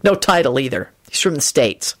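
A woman voicing a bored, dry young man in an audiobook, flat and dismissive. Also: a bass voice, brisk, gravelly, pitched below the performer's own voice.

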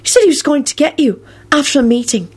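A woman's voice: high-pitched, expressive, fast talker, pitched above the performer's own voice. A woman voicing a quirky, dreamy young woman with an earnest manner in a story reading. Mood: bewildered.

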